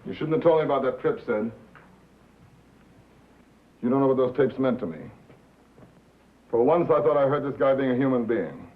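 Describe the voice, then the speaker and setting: An actor playing a wry, disillusioned American radio reporter in a 1950s film drama, speaking in a terse, dry way. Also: male voice, mid-range voice, moderate pace, pauses often, narrow, tinny audio, lightly acted. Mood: bitter. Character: wry, disillusioned male radio reporter, tough and self-possessed. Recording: thin, boxy old film soundtrack.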